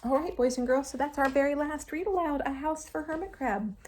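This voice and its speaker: A woman teacher reading a storybook aloud to young children, expressive, with chatty asides and gentle checking questions aimed at them. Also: high-pitched, a little fast, slight background noise.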